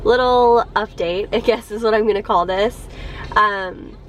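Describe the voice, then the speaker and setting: A young woman talks to her camera from a parked car, her voice stuffed up from a cold. She sits at a middling pitch and rambles in a friendly way, full of ums and likes and small laughs. Faint traffic hum sits behind her. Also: unhurried. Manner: chatty, many ums and likes, laughs a little. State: congested from a cold. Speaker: vlogger. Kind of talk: casual chat to camera.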